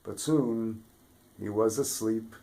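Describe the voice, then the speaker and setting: A man reading a children's fairy tale aloud with expressive delivery, dropping to whispers for suspense. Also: on the low side, slow pace, creaky, a little hiss or hum. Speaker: storybook reader, theatre regular. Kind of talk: children's story read-aloud.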